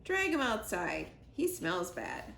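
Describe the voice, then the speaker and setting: A woman's voice: medium pitch, big pitch swings, slow, gravelly, a bit bright, reverberant, a little hiss or hum, heavily acted. A woman voicing a firm, exasperated mother hen in a storybook reading. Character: stern mother hen, no-nonsense.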